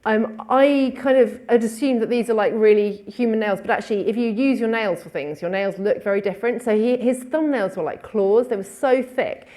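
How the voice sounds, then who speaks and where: Mellow-voiced woman chatting in a Q&A. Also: on the high side, moderate pace, hardly any pauses. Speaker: speaker at a talk. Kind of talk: casual Q&A remarks.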